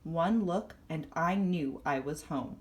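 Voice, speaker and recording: A woman reading a children's storybook aloud in a deliberate, expressive way. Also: deep voice, dull audio, slight background noise. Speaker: storybook reader.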